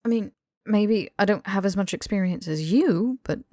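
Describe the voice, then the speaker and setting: A woman's voice: mid-range voice, animated, moderate pace, dark tone, no echo, clean studio sound, close to an everyday voice. A woman voicing a casual young man, wry and easily flustered, protesting informally in an audiobook. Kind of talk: audiobook character dialogue.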